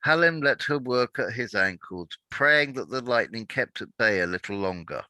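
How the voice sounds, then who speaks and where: A man reading a dark adventure story aloud in dramatic narration, now and then stumbling over words and correcting himself. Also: medium pitch, lively intonation, moderate pace, dry recording.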